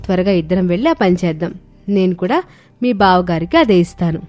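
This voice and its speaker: A woman's voice: on the low side, lively intonation, fairly quick, a little mellow, close to an everyday voice. A woman voicing a silly, earnest young daughter-in-law in a cartoon family story. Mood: eager. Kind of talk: cartoon moral-story dialogue. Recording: music under the voice.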